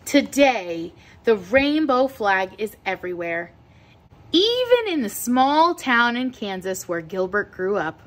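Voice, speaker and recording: A woman with a fairly high voice reads a children's picture book aloud. She takes it very slowly, shaping phrases in an expressive, deliberate storytelling manner. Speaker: read-aloud teacher.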